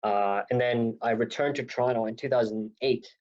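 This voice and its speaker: A man's voice: mid-range voice, steady tone, a little fast, darkish tone. Man in his thirties, from Pakistan, rambling, self-correcting, muffled lo-fi sound. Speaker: guest. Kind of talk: casual interview talk. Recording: dull lo-fi audio.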